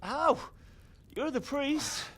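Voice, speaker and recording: An actor playing a sardonic, exasperated young medieval adventurer in a video game, sounding incredulous. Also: male voice, mid-range voice, lively intonation, normal speed. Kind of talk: video game cutscene acting.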